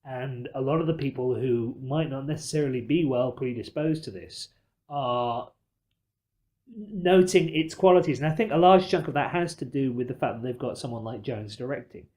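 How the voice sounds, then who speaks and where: A man with a mid-pitched voice hosts a film discussion podcast. He talks in a discursive, emphatic way, qualifying each point as he builds his case. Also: normal speed, darkish tone. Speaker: podcast host.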